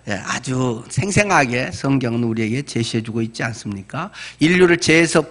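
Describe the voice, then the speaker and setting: A man's voice: on the high side, a bit bright. A man around sixty, a pastor preaching in a conversational, explanatory way with asides and rhetorical questions. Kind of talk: sermon.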